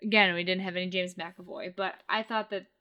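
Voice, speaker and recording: A woman's voice: lowish voice, fairly even intonation, fairly quick, lo-fi sound. A woman co-hosting a podcast, gushing about a TV episode in casual chat, with lots of restarts. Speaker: podcast co-host.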